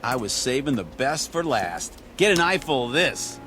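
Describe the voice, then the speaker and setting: A man's voice: medium pitch, sing-song, normal speed, background noise, close to an everyday voice. An actor playing a brash, jittery space crewman in an audio drama, slangy and wisecracking. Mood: sardonic.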